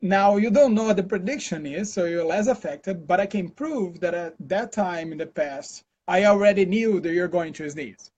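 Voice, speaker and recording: A Brazilian man with a Brazilian accent, answering interview questions in a relaxed, chatty way full of fillers and restarts, over a video call. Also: high-pitched, normal speed, hardly any pauses. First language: Portuguese. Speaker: interview guest, scientist. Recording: dull lo-fi call audio.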